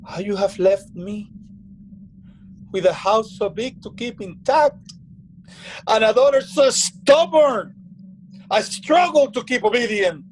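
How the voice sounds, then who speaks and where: A man's voice: high voice, lively intonation, takes it slowly, long pauses, a little hiss or hum, poor audio. An actor playing a proud, bitter, hard-drinking old father with a stern, commanding manner in a dramatic play reading. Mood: bitter, lonely.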